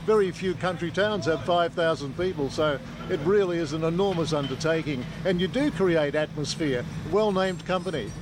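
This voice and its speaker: A man's voice: a little high, moderate pace, few pauses. Australian male field reporter, noisy outdoor location. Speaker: television news field reporter.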